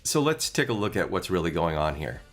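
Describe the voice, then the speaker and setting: Deep-voiced man demoing synth gear. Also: very animated, brisk, a bit bright, soft background music. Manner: chatty, rambling with fillers. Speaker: musician demonstrating gear. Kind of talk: casual gear demo.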